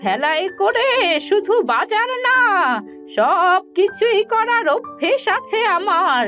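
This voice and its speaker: A very high, swooping old granny from a village cartoon. She is weary yet eager, with a drawn-out folksy way of talking. Faint music plays behind her. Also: sing-song, fairly bright tone, heavily acted. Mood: pleased, eager. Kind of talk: Bengali cartoon fairy-tale acting.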